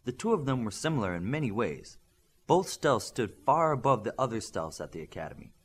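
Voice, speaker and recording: A man's voice: mid-range voice, very animated, a little fast, slight background noise. A man reading a scripted fiction story as an audiobook narrator.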